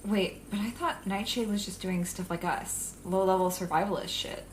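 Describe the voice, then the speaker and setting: A woman acting in an audio drama as a wry, cautious young female villain who plans briskly, with a dry, sarcastic manner. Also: mid-range voice, slight background noise, lightly acted, rough recording. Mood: serious, wary.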